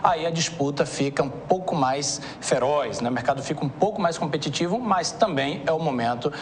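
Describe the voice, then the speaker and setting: A man's voice: fairly high pitch, lively intonation, brisk. A man from Bahia with a Brazilian accent, answering interview questions as a consultant in a didactic way. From Salvador, Bahia, Brazil. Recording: faint noise in TV interview.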